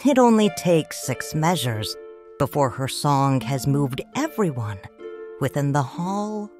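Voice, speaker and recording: Low, slow woman narrating a story. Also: big pitch swings, darkish tone, faint music behind. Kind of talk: audiobook narration, first person.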